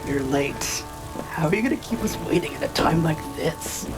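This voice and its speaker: A woman playing a tough, blunt orc giantess in a noir audio drama, slangy and unhurried. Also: deep voice, animated, over loud music, rough recording. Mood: firm, irritated. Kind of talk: scripted audio drama dialogue.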